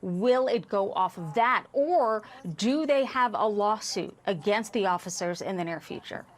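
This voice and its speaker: A woman's voice: mid-range voice, animated, measured pace. A woman hosting a broadcast news discussion and putting questions to panelists.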